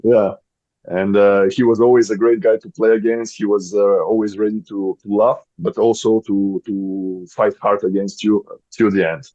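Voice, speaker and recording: A man in his thirties with a Bulgarian accent, a podcast guest speaking English as a second language and often pausing to search for words. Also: lowish voice, little pitch movement, warm tone, no echo, lo-fi sound. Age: 30s. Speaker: guest, volleyball player. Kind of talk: podcast interview.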